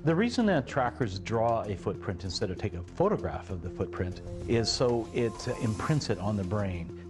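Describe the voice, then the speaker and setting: A man with a lowish voice explains his field as an expert being interviewed. He talks in unscripted explanatory remarks over faint music. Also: very animated, moderate pace. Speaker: search and rescue tracking expert.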